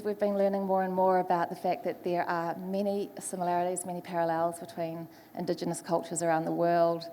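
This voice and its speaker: A woman's voice: on the low side, big-room sound, a little hiss or hum. A woman from New Zealand with a New Zealand accent, moderating a conference panel, with many ums as she introduces the speakers. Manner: warm, many ums, greets in Māori. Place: large conference hall.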